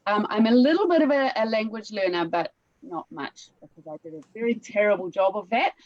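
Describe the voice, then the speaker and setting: A woman's voice: medium pitch, lively intonation, brisk. Friendly New woman on a video call. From New Zealand. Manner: warm, friendly, says "lovely" often. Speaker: English teacher, guest. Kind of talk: casual self-introduction. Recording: dry, dull video call audio.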